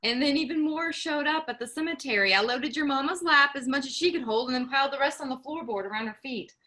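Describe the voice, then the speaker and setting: A woman's voice: high-pitched, fast, sharp tone. A woman reading the part of a wry, practical rural wife in a staged play reading. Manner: wry, chatty, laughs easily.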